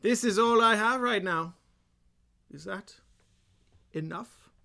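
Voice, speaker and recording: Theatrical British villain: slow, hushed, drawn-out storybook reading with grave drama. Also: male voice, sits high, gravelly, voice pushed up, exaggerated voice. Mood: grave, dramatic.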